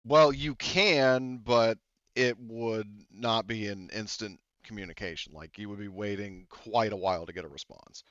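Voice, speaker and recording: A man's voice: lowish voice, unhurried, a bit bright, dry recording, dull audio. A man running a tabletop game as game master, rambling and thinking aloud with many fillers.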